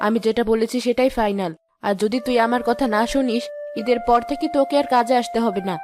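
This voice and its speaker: A woman's voice: on the high side, steady tone, fast, noisy background, close to an everyday voice. A woman playing a stern, impatient rich employer in a cartoon drama, curt and domineering.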